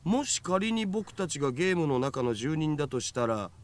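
An actor playing a glib young otaku hacker in a visual novel, with a teasing, slangy manner. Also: male voice, fairly high pitch, sing-song, fast, crowd noise. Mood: matter-of-fact. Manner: flippant, slangy. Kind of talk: Japanese visual novel acting.

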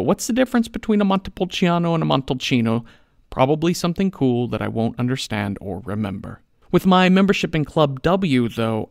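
A man's voice: mid-range voice, creaky, no echo, clean studio sound. A man reading a podcast sponsor ad in a casual, wry, conversational way. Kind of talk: conversational scripted ad read.

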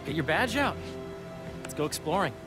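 A man's voice: a little high, big pitch swings, normal speed, music in the background. An actor playing a friendly, idealistic young American man in a video game cutscene, talking casually and earnestly. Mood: casual, upbeat. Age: young adult. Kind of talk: naturalistic game cutscene acting.

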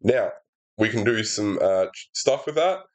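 This is a young man hosting a relaxed puzzle walkthrough while his sinuses are stuffed up. His voice sits slightly low and comes through a laptop's built-in mic at his desk. He talks through every move casually, with plenty of "uh" and "um". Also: a little slow. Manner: chatty and relaxed, many fillers, explains steps aloud. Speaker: puzzle setter and walkthrough host. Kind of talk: casual sudoku solving walkthrough. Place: indoor room at a desk.

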